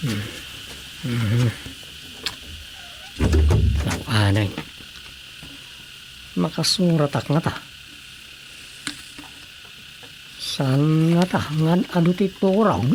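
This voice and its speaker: An actor with an Ilocano Filipino accent playing a married writer who muses aloud to himself in a radio drama. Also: male voice, on the high side, slow pace, pauses often, very noisy. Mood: casual. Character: married man, a writer musing on world troubles.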